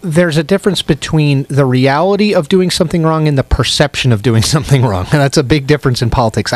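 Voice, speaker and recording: Higher-pitched man giving political analysis. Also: dry recording. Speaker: political panelist. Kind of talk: political analysis in a panel discussion.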